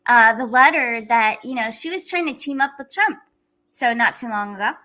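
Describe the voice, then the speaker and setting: A woman hosting a casual livestream, chatty and excitable, laughing often as she talks to her viewers. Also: fairly high pitch, normal speed. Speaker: livestream host.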